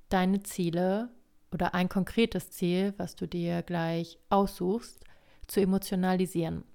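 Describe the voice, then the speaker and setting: A woman hosting a podcast that guides writing meditations, talking gently and unhurriedly, her voice a little hoarse from a cold. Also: fairly low pitch. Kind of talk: calm guided podcast talk.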